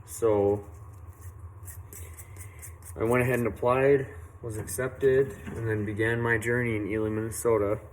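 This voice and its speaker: A young man from western Illinois who owns a tree service tells how he started, in an unhurried, earnest way with many fillers and restarts. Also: mid-range voice, little pitch movement, a little hiss or hum, rough recording. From western Illinois, USA. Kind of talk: casual personal story to camera. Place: outdoors at a work site, doing saw maintenance.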